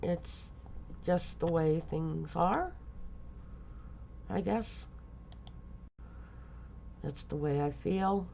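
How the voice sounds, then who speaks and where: Casting: stuffy fifties woman, gravelly and slow, rambling vlog chat, lo-fi hiss. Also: lowish voice, dark tone. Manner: halting, rambling, many pauses and fillers. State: congested from a lingering cold. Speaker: vlogger. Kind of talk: casual personal vlog talk.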